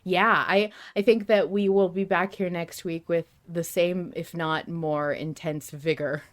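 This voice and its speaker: A woman podcast host talking casually and emphatically like an excited fan, often repeating and restarting her phrases. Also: lowish voice, measured pace. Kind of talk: casual fan discussion, ranting.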